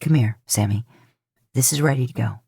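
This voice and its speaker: Gruff, protective man played by a female reader, pitched down, coaxing and wry, for fan-fiction audio. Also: normal speed, mellow, no echo, studio quality, exaggerated voice. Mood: gentle, coaxing. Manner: low, coaxing, casual and wry. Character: gruff, protective adult man soothing his injured brother.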